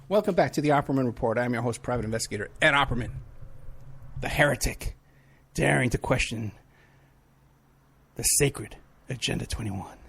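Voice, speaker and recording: A man's voice: medium pitch, normal speed, gravelly, pauses often, a bit bright, slightly rough. A man from New City, hosting a talk radio show in a rambling, sarcastic monologue. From New York City. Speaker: radio show host, private investigator.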